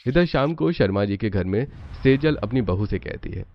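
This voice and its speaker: A man's voice: lowish voice, expressive, moderate pace, dull audio, faint music behind. A man reading scripted narration for a cartoon family story.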